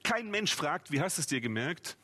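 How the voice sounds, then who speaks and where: A man's voice: fairly high pitch, big pitch swings, moderate pace, sharp tone. A man in his forties from Swabia, a guest author explaining in an informal, eager way and piling up phrases. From Swabia, Germany. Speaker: memory trainer and author, guest. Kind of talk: animated explanatory interview talk. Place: TV studio at a table.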